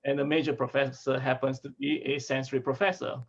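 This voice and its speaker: A man from Malaysia, a food sensory scientist, giving a relaxed talk over a video call with restarts and chuckles. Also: mid-range voice, fast, breathy edge. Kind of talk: casual video-call presentation. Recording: dull lo-fi video call audio.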